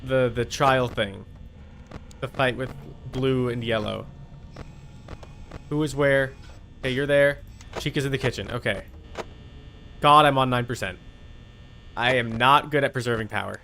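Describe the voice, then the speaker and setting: A man's voice: mid-range voice, measured pace, pauses often, pushed and bright, slight background noise. A man livestreaming a video game with casual commentary, muttering to himself, repeating phrases and chatting with viewers. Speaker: livestreamer playing a horror game.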